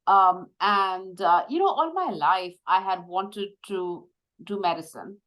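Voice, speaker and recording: A woman in her fifties from India, a medical device executive, talking through her career in a measured, reflective way with frequent pauses and fillers. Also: mid-range voice, fairly bright tone, rough recording. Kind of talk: conversational interview.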